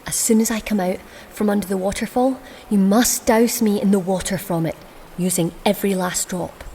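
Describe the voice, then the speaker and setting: An actress in an audio drama playing a defiant, scheming young goddess, haughty and intense. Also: female voice, medium pitch, fairly even intonation, moderate pace, dark tone, slight background noise, lightly acted. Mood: urgent, earnest. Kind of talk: audio drama acting with first-person narration.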